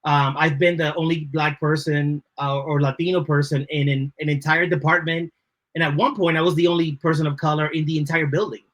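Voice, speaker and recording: A man's voice: fairly high pitch, steady tone, a little fast, a bit bright, dull audio. A man from the Dominican Republic, a native Spanish speaker with a Dominican accent, answering interview questions thoughtfully and often checking in with "right?". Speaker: podcast guest, creative leader.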